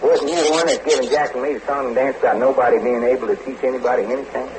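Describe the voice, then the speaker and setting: A man's voice: medium pitch, steady tone, rapid-fire, close to an everyday voice. Man acting a folksy adventurer on noisy radio. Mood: bashful, teasing. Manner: drawling, folksy, colloquial. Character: folksy, easygoing, flirtatious adventurer detective. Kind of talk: 1940s radio serial acting. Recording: noisy old radio recording.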